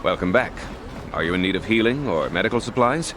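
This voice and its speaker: Male actor as earnest healer, deep voice, video game dialogue, noisy background. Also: expressive, brisk, natural voice. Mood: kindly. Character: earnest, kindly male medic and shopkeeper.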